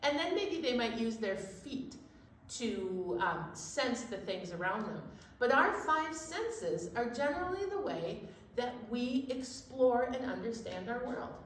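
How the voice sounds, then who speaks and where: High-voiced woman hosting storytime in echoey room. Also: sing-song, measured pace, slight background noise. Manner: exclaiming, encouraging, addresses young children. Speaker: children's library storytime host. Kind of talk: children's storytime chat and sing-along. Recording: echoey room, distant microphone.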